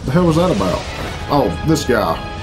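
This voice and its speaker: A man's voice: mid-range voice, very animated, moderate pace. Sarcastic man reacting over game music. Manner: sarcastic, bemused grumbling. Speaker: reaction video host. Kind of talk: casual, profane reaction commentary over game cutscenes. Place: small bedroom, indoors. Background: video game cutscene music.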